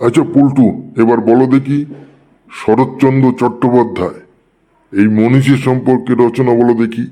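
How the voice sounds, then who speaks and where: Man acting a mellow-voiced exasperated cartoon schoolteacher. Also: medium pitch, fairly even intonation, normal speed, natural voice. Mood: scheming, annoyed. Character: exasperated male schoolteacher out to catch pupils. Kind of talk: comedy cartoon voice-over.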